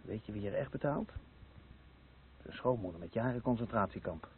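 An actor playing a dry, gossiping, sceptical acquaintance in a radio play. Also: male voice, on the low side, animated, normal speed. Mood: gossiping, scornful. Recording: muffled radio play with faint noise.

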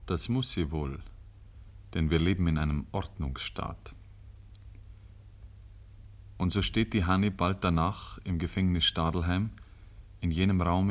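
Solemn old-time radio storyteller, deep voice, measured literary delivery. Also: male voice, fairly even intonation. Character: grave, ironic male storyteller. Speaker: narrator. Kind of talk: scripted narration, 1950s radio drama.

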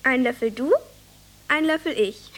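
A very high, childlike voice playing a bossy little village girl in a radio play. She is earnest and eager, forever instructing, with a little noise behind her. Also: a little slow, a bit bright. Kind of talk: German radio drama acting.

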